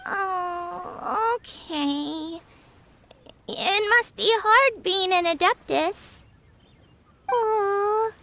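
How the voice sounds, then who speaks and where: Very high-pitched little girl. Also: extremely slow, long pauses, sharp tone, slight background noise, full character voice. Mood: crestfallen. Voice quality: high, childlike. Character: cheerful little girl, childlike and earnest. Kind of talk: dubbed game cutscene acting.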